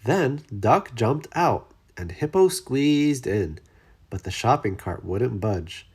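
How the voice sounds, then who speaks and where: A storyteller reading a children's story aloud with expressive, dramatic emphasis. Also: mid-range voice, takes it slowly, breathy edge. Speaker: storybook reader.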